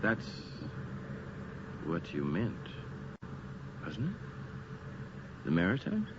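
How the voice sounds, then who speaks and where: A man's voice: low-pitched, wildly swooping pitch, drawn-out words, full character voice. An actor playing a frightened, insistent man urging his friend on in a radio horror drama, speaking with emphatic urgency. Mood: quiet, wry. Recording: noisy, muffled old radio drama recording.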